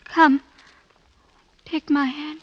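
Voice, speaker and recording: A gentle young woman, a caring guide. Her high voice is slow and measured, in formal vintage radio drama style on a dull, hissy old recording. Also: flat delivery. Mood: gentle, guiding. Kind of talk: 1940s radio drama acting.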